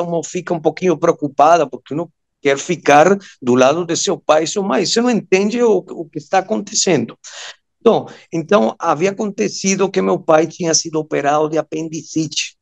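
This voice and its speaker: Venezuelan-accented man in his fifties, creaky voice. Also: fairly high pitch. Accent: Venezuelan Spanish. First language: Spanish. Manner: halting, searching for words, often checking with "ok?". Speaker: guest, surgeon and amateur radio operator. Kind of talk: personal storytelling in an interview.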